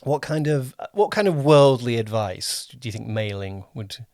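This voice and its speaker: A man's voice: medium pitch, animated, moderate pace, darkish tone, no echo, clean studio sound. A man hosting a casual interview, rephrasing his questions conversationally as he goes. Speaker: podcast host and producer.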